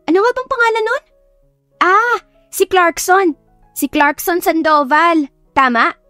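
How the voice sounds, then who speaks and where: Woman acting young female best friend, Filipino accent, bubbly, playful, very high pitch, radio drama, music behind. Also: unhurried, pushed and bright, no room sound, full character voice. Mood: playful teasing. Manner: chirpy, playful, gushing.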